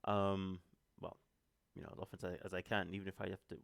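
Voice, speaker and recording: A man's voice: low-pitched, monotone, quick pace, creaky. A man giving casual, off-the-cuff reaction commentary as a host, chatty and apologetic, speaking straight to his viewers.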